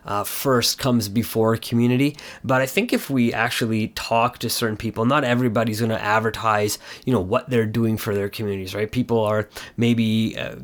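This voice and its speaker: A man co-hosting a podcast, talking casually and earnestly in a hesitant, rambling way full of "you know". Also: on the low side. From Canada. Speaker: podcast co-host.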